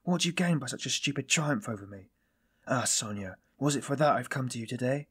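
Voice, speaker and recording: A man playing a weary, self-loathing man in audiobook dialogue, confessing in a brooding, bitter, halting manner. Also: mid-range voice, fairly bright tone, husky. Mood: bitter despair.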